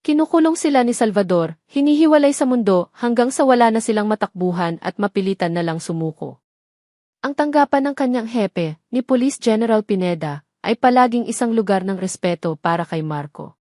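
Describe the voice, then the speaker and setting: A woman's voice: mid-range voice, lively intonation, brisk, dark tone, no echo, clean studio sound. A woman reading a dramatic scripted love story as the narrator of an audio drama.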